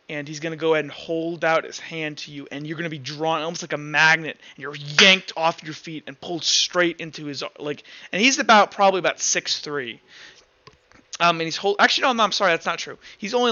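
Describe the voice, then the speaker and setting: Bright-voiced, hesitant male game master. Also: fairly high pitch, brisk, no room sound, dull audio. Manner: informal, hesitant, piecing descriptions together. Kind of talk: improvised narration of a tabletop roleplaying game.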